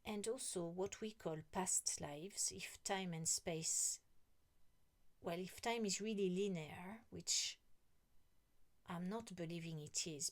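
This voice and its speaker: A middle-aged woman talking slowly and rambling to her viewers, often trailing off into a whisper. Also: on the low side. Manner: slow, rambling, musing, often drops to a whisper. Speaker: vlogger. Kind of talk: casual monologue to camera.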